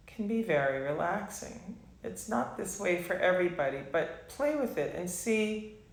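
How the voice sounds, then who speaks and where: A woman leads a guided meditation in a roomy, echoing space. She speaks very slowly, leaving long pauses between soft phrases. Her voice sits in a low register and often settles into a gravelly creak. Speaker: meditation teacher.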